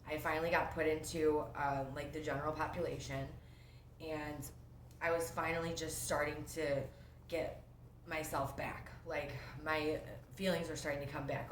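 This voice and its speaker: Young woman, low voice, sniffly with a cold, rambling casual storytelling, echoey room, faint noise. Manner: rambling, lots of fillers and self-interruptions. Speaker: vlogger sharing her recovery story. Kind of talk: casual first-person storytelling. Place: at home.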